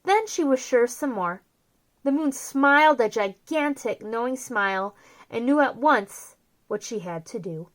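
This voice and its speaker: A woman reading a children's picture book aloud with theatrical, drawn-out emphasis on key words. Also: a little high, expressive. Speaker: children's storyteller, host.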